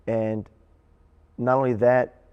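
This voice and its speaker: A man who directs student theater, giving halting interview answers and repeating phrases as he goes. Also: medium pitch, little pitch movement, clean tone, muffled recording.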